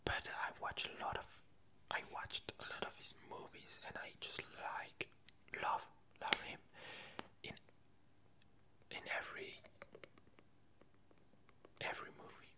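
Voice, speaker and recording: Slow, whispering ASMR woman. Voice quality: breathy whisper. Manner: slow, halting, with long pauses. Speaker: ASMR video creator. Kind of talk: whispered ASMR casual chat.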